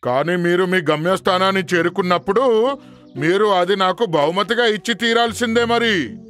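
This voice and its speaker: A man's voice: sits high, normal speed, fairly bright tone, soft background music. A man playing a deceitful, ingratiating merchant in a children's cartoon story. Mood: sly. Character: deceitful male merchant, slyly polite, posing as guard.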